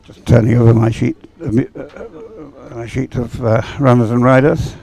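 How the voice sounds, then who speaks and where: Male live sports commentator, soft-edged relaxed tone, unscripted, pausing and meandering as he thinks aloud. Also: mid-range voice, creaky.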